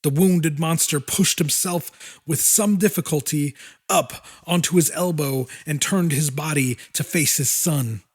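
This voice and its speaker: Slow, dramatic male audiobook narrator. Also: a little high, husky. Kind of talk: dramatic scripted narration of horror fiction.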